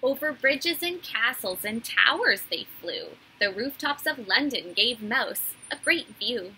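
A woman in her thirties reading a children's story aloud like a teacher, in a deliberate, animated storytelling way. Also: on the high side, slight background noise, low-quality recording. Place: small indoor room.

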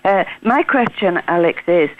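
A woman's voice: fairly low pitch, animated, moderate pace, phone-quality sound. An older woman calling a radio phone-in over the phone, earnest and sympathetic, with hesitant fillers.

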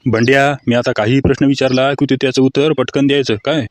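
A man playing a stern, brisk schoolteacher questioning a pupil in a cartoon comedy. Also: medium pitch, level tone, slight background noise, close to an everyday voice. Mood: stern, scolding. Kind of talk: cartoon comedy skit dialogue.

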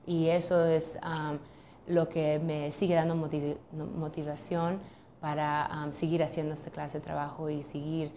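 A woman's voice: deep voice, little pitch movement, a little slow, warm tone, a little hiss or hum. A woman in her thirties, a lawyer, answering interview questions in an office, hesitant with many ums and restarts. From United States. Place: office, seated at a desk.

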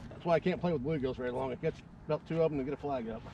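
A man's voice: a little high, rapid-fire, dull audio. Chatty man calling action on ice. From Ohio, USA. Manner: chatty, excitable, swears casually. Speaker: fishing video host. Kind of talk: casual play-by-play commentary. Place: outdoors on a frozen pond in winter. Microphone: body-worn action camera. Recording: outdoor camera audio with steady noise.

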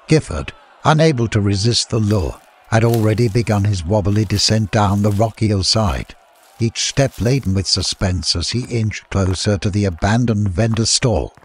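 A man reading a scripted story as an audiobook narrator, with a husky voice. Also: lowish voice, normal speed.

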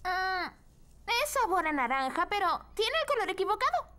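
A woman voicing a cheerful, helpful little girl in a children's cartoon dub, with an earnest, sweet, expressive delivery. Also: very high-pitched, normal speed, heavy background noise. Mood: worried. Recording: music behind the voice.

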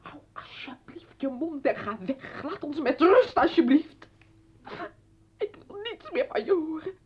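A woman's voice: sits high, animated, measured pace. An actress in a Dutch radio play, playing an anguished, pleading wife and mother in a tearful, dramatic manner. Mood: pleading anger. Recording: dull old radio drama recording.

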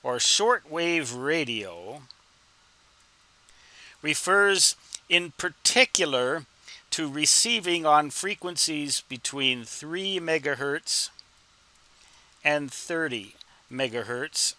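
A man in his sixties giving an informal explanatory tutorial, with deliberate, emphatic phrasing and rhetorical questions. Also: on the high side, expressive, sharp tone, poor audio. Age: 60s. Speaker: instructor and ham radio hobbyist.

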